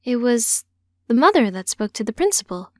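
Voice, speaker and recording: A woman's voice: fairly high pitch, sing-song, normal speed, no room sound, studio quality. A woman voicing an earnest, slightly sheepish grown daughter in an audiobook story. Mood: hesitant. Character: earnest young transgender woman, a teacher, confiding in mother.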